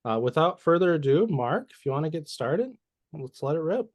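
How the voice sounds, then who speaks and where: A man's voice: on the high side, very animated, normal speed, dry recording, clean studio sound. A man hosting a webinar, reading a prepared introduction of the guest speaker. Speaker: webinar host.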